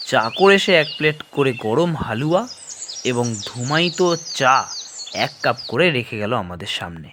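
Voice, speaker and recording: A man's voice: on the high side, a bit bright, slight background noise. A man narrating a scripted horror story in the first person, in a dramatic storytelling style.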